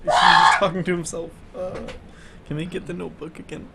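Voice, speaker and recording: A man's voice: high voice, fairly even intonation, fairly quick, creaky, very noisy. Dry, understated young man. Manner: dry, understated, laughs often. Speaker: radio show co-host. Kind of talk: casual banter.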